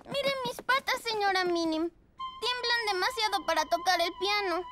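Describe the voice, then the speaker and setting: A woman's voice: very high-pitched, moderate pace. A woman voicing a timid, sweet little girl pug puppy in a preschool cartoon, childlike and hesitant, with a pleading way of talking. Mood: anxious. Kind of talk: preschool cartoon dub acting. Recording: music behind the voice.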